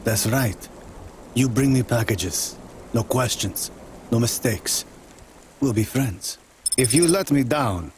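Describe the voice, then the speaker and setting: Menacing crime boss, mid pitch, terse and measured, game scene, faint rain noise. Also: male voice, lively intonation, darkish tone. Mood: quietly threatening. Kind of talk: video game dialogue.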